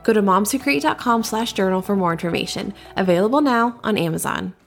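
A woman's voice: mid-range voice, fairly quick, gravelly, soft background music. A woman reading a scripted ad in an upbeat, promotional way. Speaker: ad reader. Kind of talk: scripted ad read.

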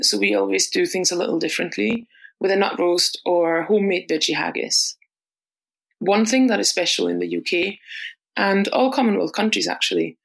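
A woman's voice: mid-range voice, vocal fry, low-quality recording. A woman from Austria, reading a prepared voice message as a podcast contributor. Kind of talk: scripted voice message.

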